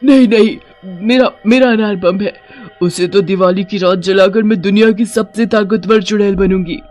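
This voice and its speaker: A woman's voice: medium pitch, fairly even intonation, normal speed, a little mellow, soft background music, lightly acted. A woman voicing a furious, power-hungry witch in a cartoon horror story, threatening and boastful. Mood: frantic screaming.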